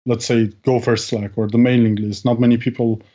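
A man's voice: mid-range voice, level tone, fairly quick, mellow. A man speaking as a podcast guest in casual conversation, hesitant, with many fillers and restarts. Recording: dull lo-fi sound.

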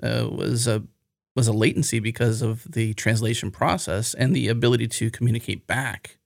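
A man's voice: medium pitch, fairly even intonation, normal speed, creaky, no room sound, clean studio sound. A man hosting a podcast in casual conversation, curious and given to rambling asides. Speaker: podcast host.

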